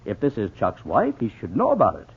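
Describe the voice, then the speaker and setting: An actor playing a level-headed, polite amateur detective in matter-of-fact 1940s radio style. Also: male voice, lowish voice, wildly swooping pitch, brisk, slightly rough, full character voice. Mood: decisive. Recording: tinny, muffled old radio recording.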